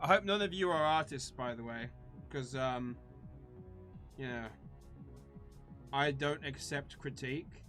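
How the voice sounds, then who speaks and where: A man's voice: mid-range voice, slow pace, pauses often, a bit bright, soft background music. A man of about thirty chatting casually to viewers as a channel host, in a playful, theatrically mock-serious way. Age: around 30.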